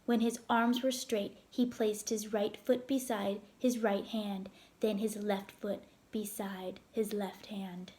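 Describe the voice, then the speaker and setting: A woman narrating a children's story aloud in a deliberate, expressive way. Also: mid-range voice.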